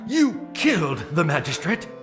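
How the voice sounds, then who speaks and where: An actor playing a stern, formal royal adviser in an audio drama, speaking in an ornate courtly manner. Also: male voice, on the high side, very animated, measured pace. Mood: wary. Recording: clear music behind the voice.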